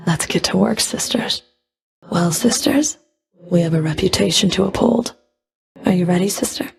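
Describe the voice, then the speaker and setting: Stern underworld fury lady for a video game, lowish gravelly voice, cold and clipped, super disdainful. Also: normal speed, soft background music, full character voice. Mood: commanding. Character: stern, scornful female Fury, underworld enforcer. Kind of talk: video game combat and dialogue voice lines.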